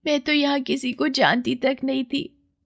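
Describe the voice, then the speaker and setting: A woman acts out a poor young girl in a children's moral tale. The pitch is raised well up. She sounds earnest, sincere and full of affection. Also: steady tone, a little slow, creaky, exaggerated voice. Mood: pleading. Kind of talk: children's moral story dramatization.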